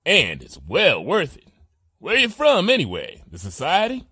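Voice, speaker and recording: A man acts out a folksy, blustery pony cook for an audio drama. His voice swings hugely in pitch, bright and forceful, in a very dry close recording, with an informal drawl and hearty manner. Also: huge pitch swings, unhurried, slightly rough, no echo, exaggerated voice. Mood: hearty, friendly. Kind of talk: audio drama character acting.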